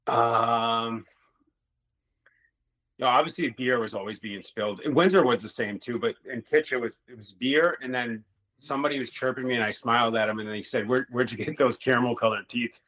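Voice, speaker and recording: A man's voice: mid-range voice, fairly quick, a bit bright, low-quality recording. A middle-aged man, a former hockey player, telling rambling stories in a casual interview and swearing now and then.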